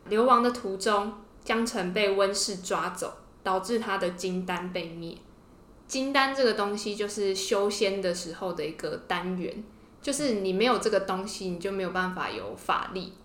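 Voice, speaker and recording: A woman's voice: medium pitch, normal speed, a bit bright. Woman podcast host in an echoey room. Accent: Taiwanese Mandarin. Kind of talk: casual explanatory storytelling about fiction. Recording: roomy, echoing podcast room.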